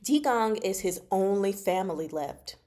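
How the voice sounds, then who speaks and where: Casting: female book-discussion host, medium pitch, casual and slangy, emphatic, teasing, expressive delivery. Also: unhurried. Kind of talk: casual chatty book commentary with live chat.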